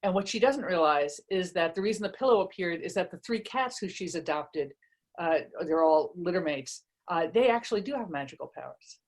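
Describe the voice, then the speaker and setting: A woman's voice: on the low side, animated, a little fast, a little mellow. Chatty, self-deprecating woman author. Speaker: author presenting and reading from their own book. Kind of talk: casual book talk and read-aloud.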